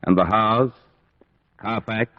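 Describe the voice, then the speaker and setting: Ancient vampire aristocrat, very slow delivery, low voice, formal, menacing courtesy, vintage radio drama, dull recording. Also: male voice, fairly even intonation. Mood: brooding. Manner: formal, grandiose, deliberate. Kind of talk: old-time radio drama acting.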